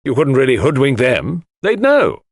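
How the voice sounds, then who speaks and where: A man voicing a gruff, opinionated woman in an audiobook, speaking in blunt, clipped phrases. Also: mid-range voice, wildly swooping pitch, moderate pace, dry recording, clean studio sound, pitched above the performer's own voice, full character voice. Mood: emphatic.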